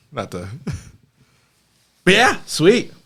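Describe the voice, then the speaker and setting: A man's voice: high-pitched, huge pitch swings, very slow, creaky, a bit bright. A man co-hosting a casual podcast, talking bluntly in slang with plenty of swearing. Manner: blunt, profane slangy talk. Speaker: podcast co-host. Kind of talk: casual group podcast chat.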